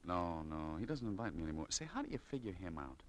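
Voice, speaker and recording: A man's voice: sits low, sing-song, quick pace. A man playing an earnest, testy young store clerk in a 1940s radio drama. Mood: confidential. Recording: faintly noisy old 1940s radio recording.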